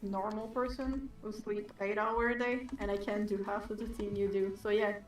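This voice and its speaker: A woman's voice: mid-range voice, moderate pace. Distant, roomy-sounding woman, non-native speaker. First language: not English. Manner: relaxed, chatty, teasing. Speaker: artist, co-host. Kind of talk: casual chat on a livestream.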